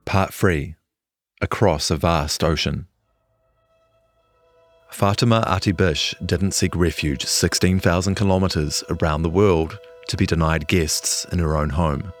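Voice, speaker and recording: A man's voice: low-pitched, unhurried, soft background music. A man reading a scripted longform news feature as a journalist narrator.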